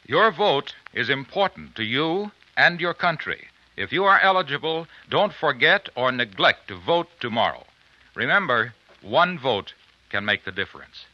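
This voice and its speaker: A man's voice: mid-range voice, very animated, normal speed, no room sound. An American man announcing for a sponsor in emphatic, polished 1950s radio commercial style. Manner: emphatic, polished salesman delivery. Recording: dull vintage radio recording.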